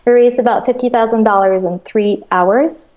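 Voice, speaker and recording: A woman's voice: on the high side, normal speed, darkish tone. A woman from Russia, guest in a casual interview, talking enthusiastically and chattily about her crowdfunding platform over a video call. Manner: enthusiastic, chatty, laughs often.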